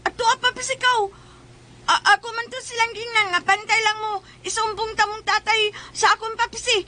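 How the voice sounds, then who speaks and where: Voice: very high voice, little pitch movement, normal speed, bright, forceful tone. A performer voicing a whiny, complaining young school child with a Cebuano accent in a comedy skit. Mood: boastful. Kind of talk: comedy radio-style skit in Bisaya.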